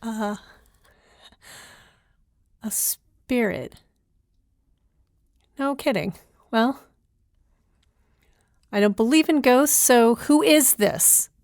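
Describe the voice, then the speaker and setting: A woman's voice: a little high, expressive, drawn-out words, creaky, pauses often, darkish tone, dry recording. A woman hosting a podcast as herself, talking informally in a hesitant, conversational, wry way. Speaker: podcast creator and host, playing herself. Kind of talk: informal podcast talk in an audio drama.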